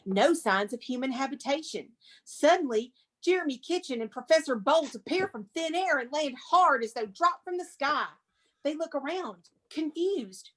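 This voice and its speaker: A woman's voice: sits high, expressive, moderate pace, a bit bright. A woman narrating the stage directions in a dramatic screenplay reading, with casual asides.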